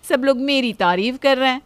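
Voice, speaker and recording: Woman acting a boastful, high-pitched sister-in-law. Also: a little hiss or hum. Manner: gloating, haughty, showy. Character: boastful, taunting young Indian elder sister-in-law. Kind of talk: Hindi family moral-story acting.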